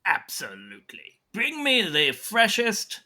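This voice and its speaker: A man playing an over-the-top heroic surgeon in a comic roleplay, bombastic and exclamatory, with a raspy, forceful voice. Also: high voice, wildly swooping pitch, slow pace, voice pushed up, exaggerated voice. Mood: brisk, commanding.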